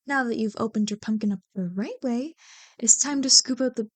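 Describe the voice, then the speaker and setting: High-voiced, playful young woman friend, acted by a woman. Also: fairly quick, close to an everyday voice. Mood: chatty. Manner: animated, bantering, mock-exasperated. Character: playful, teasing, Halloween-obsessed young woman, a childhood friend. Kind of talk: ASMR roleplay, one-sided scripted conversation.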